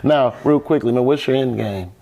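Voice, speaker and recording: A man's voice: mid-range voice, moderate pace, creaky, dark tone, rough recording. A man hosting a casual interview show, friendly and easygoing, often calling his guest "man". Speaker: show host.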